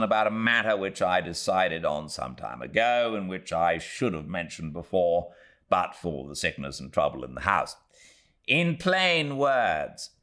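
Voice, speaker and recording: A man's voice: lowish voice, sharp tone, exaggerated voice. A man voicing a curt, tight-fisted and impatient Victorian baronet with a British accent, in an audiobook. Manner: brusque, commanding. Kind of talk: audiobook character voice.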